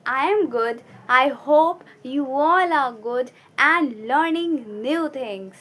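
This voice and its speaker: A woman giving scripted speaking lessons to viewers, delivered deliberately with emphasis and pauses between short phrases. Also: high voice, a bit bright. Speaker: English-speaking coach and channel host. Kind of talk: scripted instructional talk to viewers.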